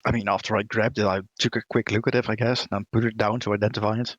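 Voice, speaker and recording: Low, husky man in a dull recording. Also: level tone, quick pace, dry recording. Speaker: player in a tabletop roleplaying game. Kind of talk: casual game chat.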